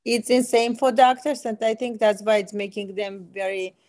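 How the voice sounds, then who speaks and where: A woman's voice: fairly high pitch, steady tone, moderate pace, a little mellow, no echo. A middle-aged woman from Armenia with an Armenian accent, answering as an interview guest in an enthusiastic, emphatic way. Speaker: guest, aesthetic medicine physician.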